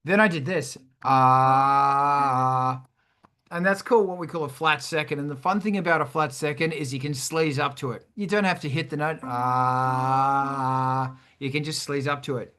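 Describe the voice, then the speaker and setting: A man hosts a casual music lesson in a bright, punchy voice that sits a little high. He explains in an irreverent, animated way and swears freely as he goes. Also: measured pace, clear, resonant tone. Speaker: host of a music production show. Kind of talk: casual music teaching with sung demonstrations.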